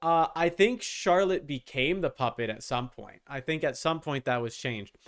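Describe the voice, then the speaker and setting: Young man with a creaky, clear voice. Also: on the high side, a bit bright. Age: young adult. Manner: rambling, halting, repeats words. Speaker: game streamer. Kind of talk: casual livestream chat while gaming.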